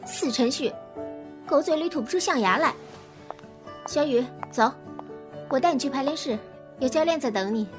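A woman's voice: high-pitched, lively intonation, moderate pace, pauses often, music in the background. An actress in a Mandarin audio drama playing a bubbly, gushing young female talent agent. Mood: teasing, bossy.

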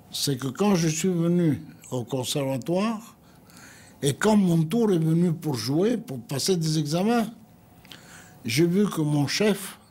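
Husky older man telling his memories. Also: fairly high pitch, a little mellow. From Greece. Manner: unhurried storytelling, acting out others' words in quotes. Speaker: interviewee. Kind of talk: recounting personal memories.

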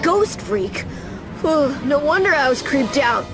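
A brash little boy hero in an animated game. The voice is high and boyish, flippant and quick to wisecrack, with music behind it. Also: heavily acted, rough recording. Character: cocky, wisecracking ten-year-old boy hero. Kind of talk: video game cutscene acting.

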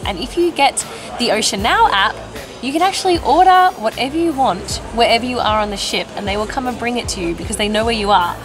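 Relaxed young woman presenting a cruise. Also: fairly high pitch. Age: young adult. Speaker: travel vlogger. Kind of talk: casual travel vlog tour. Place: cruise ship deck and lounges.

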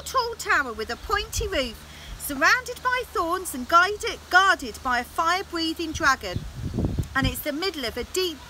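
Woman as a wailing, high-voiced little princess. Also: bright, forceful tone, slight background noise, full character voice, poor audio. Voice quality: shrieking, wailing. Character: tearful, lonely little storybook princess girl.

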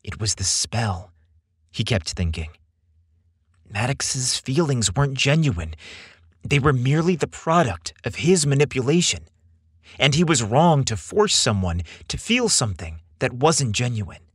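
A man narrates fiction in a theatrical, deliberate way. His delivery is slow and very animated in pitch, with a husky edge, recorded dry and close. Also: no room sound. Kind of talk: scripted dramatic story narration.

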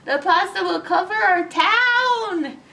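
A woman reader plays an alarmed villager in a children's folktale. The voice is urgent and exclaiming, pitched high, and slightly away from the mic. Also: expressive, slow, vocal fry, pushed and bright, recorded from a distance, full character voice. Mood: dismayed. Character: alarmed townsfolk, nun and mayor in a folktale.

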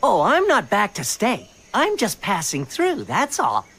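Breezy, swooping cartoon forest creature. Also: female voice, medium pitch, huge pitch swings, a little slow, fairly bright tone, breathy edge, heavily acted. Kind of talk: animated cartoon dub acting.

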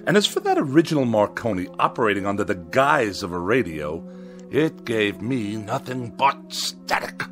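An actor playing a pompous, irascible writer who despises machines, speaking in wordy, sneering sarcasm in a radio drama. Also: male voice, on the low side, very animated, moderate pace, fairly bright tone, faint music behind. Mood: scornful.

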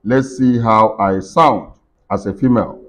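A middle-aged man with a deep voice, giving a step-by-step software tutorial at his computer with plenty of fillers. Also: measured pace. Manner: explains step by step with many fillers and restarts. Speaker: tech tutorial host. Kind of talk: screen-recorded software walkthrough. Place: indoor room at a computer desk. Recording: dull lo-fi screen recording.

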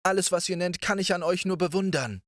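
Man doing a young princess in a storybook, polite but firm, higher voice, dry sound. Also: a little fast, a bit bright, no room sound. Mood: reasoning. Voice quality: polite, reasoning, raised pitch. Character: proud, clever young princess.

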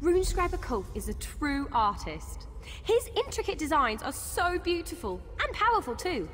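A woman voicing a spirited young female adventurer in a video game, chatty, earnest and wryly expressive. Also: sits high, normal speed, long reverb tail, a little hiss or hum. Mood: admiring, chatty. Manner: expressive, wry. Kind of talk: video game character dialogue.

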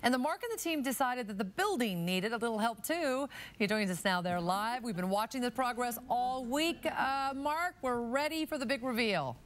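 A woman's voice: fairly high pitch, lively intonation, normal speed, few pauses, slight background noise. A woman anchoring a TV news program from the studio, polished and friendly. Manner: polished, friendly, bantering with the reporter.

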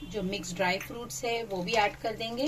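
A woman's voice: mid-range voice, fairly even intonation, moderate pace, recorded from a distance, background noise. A woman hosting her cooking channel, chatting through a recipe as voice-over and mixing English phrases into her talk.

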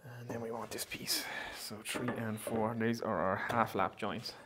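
A man's voice: lowish voice, fairly even intonation, unhurried, very noisy. A man in his thirties hosting a woodworking vlog, chatting casually and thinking aloud as he works in his shed. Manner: chatty, upbeat, thinks aloud while working. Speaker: woodworking channel host. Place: indoor woodworking shed, pegboard walls.

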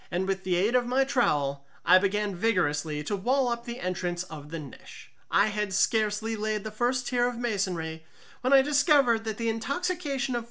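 A woman reading a gothic story aloud in a deliberate, theatrical narrating style. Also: mid-range voice, bright, forceful tone. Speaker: audiobook narrator.

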